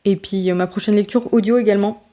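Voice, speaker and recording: A woman's voice: mid-range voice, fairly even intonation, fast talker, dark tone. A woman chatting casually in a book vlog, talking loosely with many fillers and restarts. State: congested with a summer cold, tired. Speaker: book vlogger.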